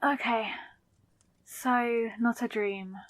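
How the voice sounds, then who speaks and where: Woman acting as confused hungover new vampire girl, wary n exasperated, talks slow, dry close audio roleplay. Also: on the high side, fairly even intonation, no room sound. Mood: groggy, bewildered. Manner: muttering to herself, exasperated.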